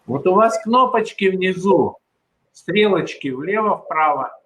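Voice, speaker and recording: A man with a somewhat high voice explains software steps slowly and patiently. He sounds like a conference moderator helping a presenter get set up, casual and instructive. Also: expressive. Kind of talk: casual tech support over video call.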